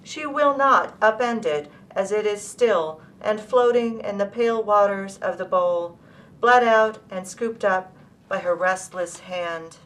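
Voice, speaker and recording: A woman poet reading her own work aloud in measured, deliberate phrases. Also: medium pitch, fairly bright tone, poor audio.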